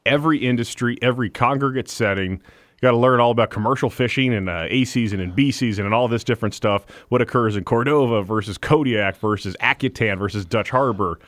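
A man's voice: mid-range voice, quick pace, a bit bright, no echo. A man, a guest on a radio show, talking earnestly and at length. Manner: earnest, talkative, with fillers. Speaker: guest, political candidate. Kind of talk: radio interview. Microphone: studio microphone.

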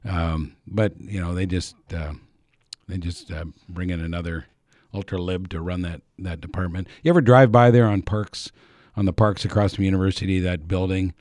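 Deep-voiced rambling Alaskan man. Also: steady tone, normal speed, a little mellow, dry recording, clean studio sound. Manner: rambling, hesitant, frequent uh and um, chuckling asides. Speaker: radio host. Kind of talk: casual radio talk.

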